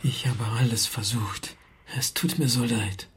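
A man's voice: medium pitch, fairly even intonation, a little slow, a little hiss or hum, close to an everyday voice. An actor playing a scheming, soothing underworld man in a German radio drama, hesitant and reassuring. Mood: subdued. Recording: music behind the voice.

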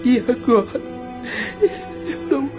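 An actor in a radio drama playing a tormented, remorseful male spirit, declaiming in anguish with dramatic pauses. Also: extremely high pitch, music in the background. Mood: tearful despair.

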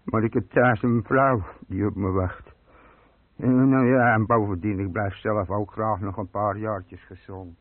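An actor playing a talkative, self-satisfied older burglar in a radio play, colloquial and boastful. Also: male voice, lowish voice, steady tone, measured pace, close to an everyday voice. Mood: indignant. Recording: dry, muffled telephone-like old radio recording.

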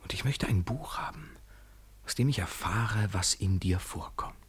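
A yearning stranger in love in a poetic radio play. His deep voice is extremely breathy and rough, speaking intimately and lyrically, sometimes close to a whisper. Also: moderate pace. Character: tender, yearning male lover, a foreigner.

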